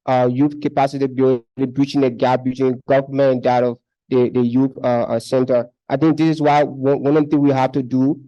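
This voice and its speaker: A man's voice: mid-range voice, level tone, brisk, warm tone, muffled recording. A young man from Liberia, speaking up earnestly at a conference, often repeating words as he makes his case. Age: young adult. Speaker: conference participant. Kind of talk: discussion contribution. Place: conference hall.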